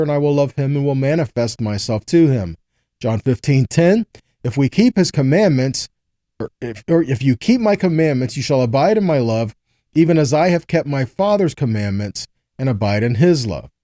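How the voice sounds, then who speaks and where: A man's voice: fairly high pitch, dark tone, no echo, clean studio sound. A man giving a conversational Bible teaching, reading verses aloud and explaining them earnestly, often checking in with short rhetorical questions.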